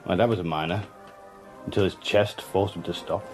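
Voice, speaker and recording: An actor playing a wry working-class British intelligence agent, narrating a radio drama in a deadpan, sardonic way. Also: male voice, low-pitched, moderate pace, music in the background, close to an everyday voice.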